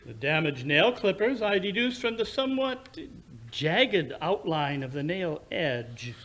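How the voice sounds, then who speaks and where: A man plays a brilliant gentleman detective from an old radio mystery. His voice is high and swoops widely as he lectures with precise, theatrical self-assurance and a touch of condescension. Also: very animated, measured pace, full character voice. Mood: confident deduction. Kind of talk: recreated 1940s radio drama acting.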